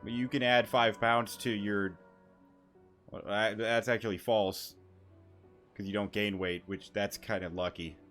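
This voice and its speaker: A man giving casual let's-play commentary, rambling and halting, with a joking manner. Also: fairly low pitch, steady tone, a bit bright. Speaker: gaming YouTuber. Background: game music.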